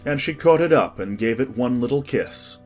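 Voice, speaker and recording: A man's voice: mid-range voice, animated, moderate pace, a little mellow, soft background music. A man reading a classic storybook as an audiobook narrator, in a scripted storytelling style.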